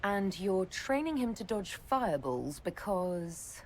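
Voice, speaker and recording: A woman playing a composed, affectionate female leader in a video game cutscene, speaking gently. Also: medium pitch, very animated, takes it slowly, slight background noise. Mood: gently teasing.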